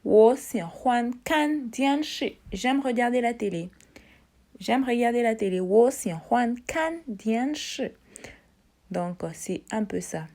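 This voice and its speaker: A woman teaching a casual language lesson, repeating words slowly and explaining each in turn. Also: animated, darkish tone. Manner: drills tones in a high voice.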